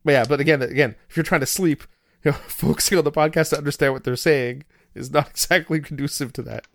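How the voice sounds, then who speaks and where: A man's voice: fairly high pitch, fast talker, gravelly, no room sound. A man co-hosting a hobby podcast, chatting casually with frequent restarts. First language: not English. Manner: relaxed, rambling, with frequent restarts. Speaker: podcast co-host. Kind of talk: casual chat.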